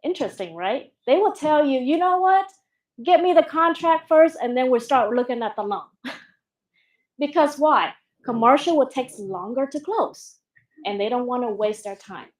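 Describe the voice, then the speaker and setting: A woman with a high, light voice leads a real estate seminar in a casual, joking way. She checks in with the room often and teases the audience before going on. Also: lively intonation, normal speed. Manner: chatty, jokey, asks the room questions.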